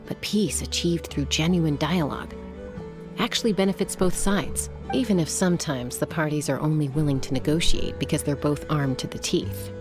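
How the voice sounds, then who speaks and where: An actress playing a calm young female warrior and peacemaker who talks in an easygoing, measured way, in a game scene. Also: deep voice, close to an everyday voice. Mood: thoughtful. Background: game music.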